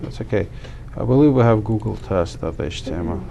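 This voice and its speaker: Give me a middle-aged man from Armenia lecturing a class in a small room, halting and full of fillers, with faint background noise. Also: on the low side, lively intonation, vocal fry, warm tone, lo-fi sound. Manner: halting, many fillers and restarts. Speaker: instructor.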